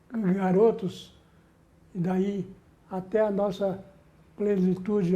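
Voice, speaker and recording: Older man, halting and sentimental. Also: sits high, warm tone. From Rio Grande do Sul, Brazil. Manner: halting, repeats words, affectionate and sentimental. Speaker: guest, veteran musician and bandleader. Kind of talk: conversational interview.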